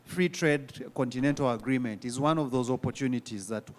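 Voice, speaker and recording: A man speaking on a conference panel, earnest and pausing on frequent fillers. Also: mid-range voice. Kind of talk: panel discussion answer.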